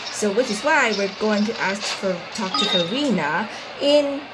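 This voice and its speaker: A woman's voice: medium pitch, expressive, unhurried, heavy background noise. A woman hosting a casual gameplay video, commenting and reading dialogue choices aloud. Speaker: gaming video host. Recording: loud game music over voice. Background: game music.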